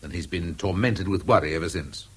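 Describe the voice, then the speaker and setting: An actor playing a sceptical police inspector in a radio drama, formal, incisive and deliberate, with an English accent. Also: male voice, low voice, fairly bright tone, husky. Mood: probing, insistent. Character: probing, sceptical male police inspector, brusque with subordinates. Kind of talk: 1970s British radio drama acting.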